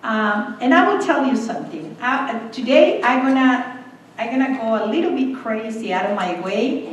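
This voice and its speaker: A woman, speaking slowly as president of a school board meeting. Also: fairly high pitch, lively intonation, muffled recording, slight background noise. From El Salvador. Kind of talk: public meeting remarks. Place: meeting room. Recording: echoey room, distant mic.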